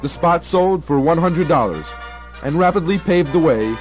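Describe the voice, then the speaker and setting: Higher-pitched young American male narrator. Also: lively intonation, moderate pace, darkish tone, soft background music. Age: young adult. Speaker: documentary narrator. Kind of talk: scripted documentary narration.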